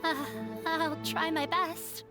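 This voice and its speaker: A woman's voice: very high voice, little pitch movement, slow, voice pushed up, heavily acted. A woman voicing a shy, earnest young girl in video game dialogue, with music over the voice. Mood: shy, hopeful.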